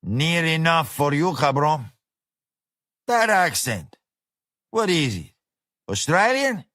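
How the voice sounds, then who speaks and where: A man's voice: on the high side, sing-song, slow pace, no echo, studio quality, voice pushed up, full character voice. A man voicing a burly, mocking male restaurant owner who speaks English. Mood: gruff mocking. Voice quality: loud, brash, laughing.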